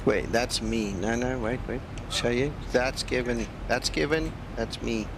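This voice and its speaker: A man who is a non-native English speaker chats casually and jokingly as he hosts a flight simulator livestream. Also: measured pace. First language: not English. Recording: constant loud rumbling engine-like noise. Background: aircraft cockpit noise from flight simulator.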